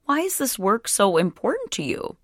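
A woman's voice: medium pitch, huge pitch swings, moderate pace, a bit bright, dry recording, clean studio sound. A woman interviewer in a conversational radio style, attentive to the person she is speaking with. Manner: attentive, frequent listening murmurs.

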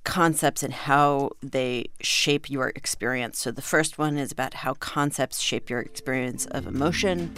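A woman's voice: very deep voice, a little slow, few pauses, fairly bright tone, clear, resonant tone. A woman working as a podcast host and reporter, explaining stories in a conversational narrating style.

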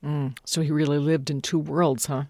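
An American woman hosting a radio series, moving between scripted introduction and conversational questions with an emphatic, expressive delivery. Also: sits low, moderate pace, noisy background.

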